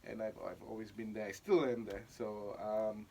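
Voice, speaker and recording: A man's voice: on the low side, level tone, normal speed, vocal fry, darkish tone, slight background noise. Chatty young Singaporean man, laughing easily. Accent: Singaporean English. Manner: chatty, laughs often, repeats himself. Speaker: guest, actor. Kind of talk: casual interview chat.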